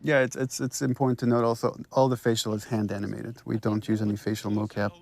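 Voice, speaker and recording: A man speaking as a game director, explaining scenes in a casual, measured, somewhat halting way. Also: medium pitch, flat delivery, gravelly, darkish tone.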